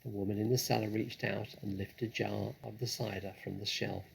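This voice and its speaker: A man narrating a children's story in a deliberate, expressive, dramatic way. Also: on the low side, vocal fry, slight background noise. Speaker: storybook reader.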